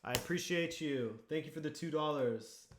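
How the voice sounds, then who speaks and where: A man hosts a live football watchalong from home, talking straight to his viewers in an easy, chatty way. His voice sits a little high, and he reacts to match moments as he goes. The sound carries a noticeable room echo. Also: moderate pace. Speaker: streamer hosting a football watchalong. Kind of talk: casual live-stream chat and match reactions. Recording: echoey room.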